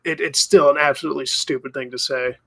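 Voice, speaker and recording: A man co-hosting a podcast, chatting casually in a halting, sweary way. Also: medium pitch, steady tone, vocal fry, bright, forceful tone, breathy edge. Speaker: podcast co-host. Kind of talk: casual chat.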